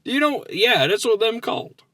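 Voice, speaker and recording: A man with a high, bright voice hosts a comedy podcast in loose, casual banter. His delivery swoops around and rambles in a folksy, playfully naive way. The sound is close and dry. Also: very animated, fairly quick, dry recording.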